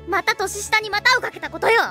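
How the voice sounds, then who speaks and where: A woman's voice: extremely high pitch, fairly quick. A woman playing a composed, jealous teenage girl in an anime audio drama, speaking in a stern, ladylike way. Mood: jealous, scolding. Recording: music behind the voice.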